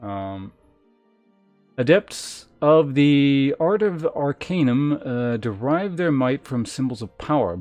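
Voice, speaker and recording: A man's voice: medium pitch, mellow. Casual male game streamer over music. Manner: informal, thinking aloud. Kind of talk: casual livestream chat, reading game text aloud.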